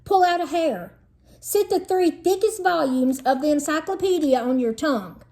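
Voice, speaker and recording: Woman doing doubtful fourth grade boy in a storybook, wry, kinda high soft-edged voice. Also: expressive, moderate pace. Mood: eager suggesting. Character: reluctant, sarcastic fourth-grade boy, jealous friend.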